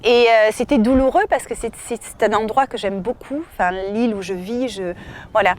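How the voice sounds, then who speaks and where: A woman from southern France, a novelist, telling stories in an interview with lively fillers and restarts. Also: on the high side, brisk. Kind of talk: casual interview answers. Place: outdoor festival.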